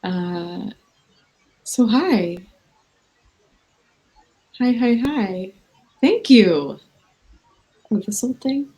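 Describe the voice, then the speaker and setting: A woman's voice: fairly high pitch, lively intonation, drawn-out words, pauses often, warm tone, poor audio. A woman in her thirties hosting a casual late-night video chat with fans from a hotel room, rambling and self-mocking. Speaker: livestream host. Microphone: video call.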